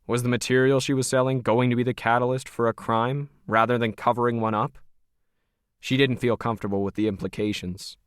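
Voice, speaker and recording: A man's voice: mid-range voice, little pitch movement, a little fast, clear, resonant tone, dry recording. Male fiction narrator, dry sound.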